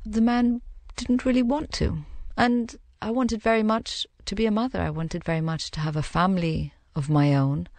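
Low, gravelly-voiced woman recounting calmly. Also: no echo. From Middle East. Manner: reflective and measured, pausing to find words. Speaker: interviewee. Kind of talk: personal account in an interview.

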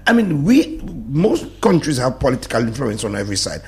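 A Dominican man talks on a radio discussion show with a gravelly, lively voice. He presses his points in emphatic bursts, his pitch leaping up and then dropping low. He sounds like a studio guest with strong opinions. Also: fairly quick. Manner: animated, emphatic, swings between loud bursts and low mutters. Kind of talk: passionate talk-radio discussion.

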